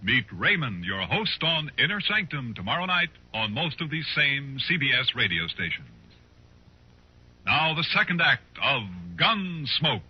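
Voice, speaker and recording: Man, radio announcer, lively intonation, scripted 1950s promo style, faint noise on old recording. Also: medium pitch, unhurried, lo-fi sound.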